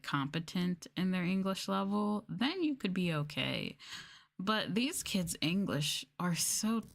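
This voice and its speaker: A young woman with a low voice talks softly in a casual ASMR stream. She chats candidly, with the odd swear word slipped in. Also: gravelly. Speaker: VTuber streamer. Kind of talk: soft-spoken ASMR casual chat.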